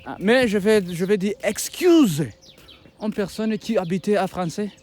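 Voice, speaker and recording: A man with a Rwandan accent whose first language is Kinyarwanda, speaking in a fairly high voice. He talks haltingly to his viewers, restarting sentences and pleading for support. Faint outdoor noise sits behind him. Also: animated, a little mellow. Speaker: YouTube content creator. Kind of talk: casual vlog talk to viewers. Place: outdoors by a road with passing buses.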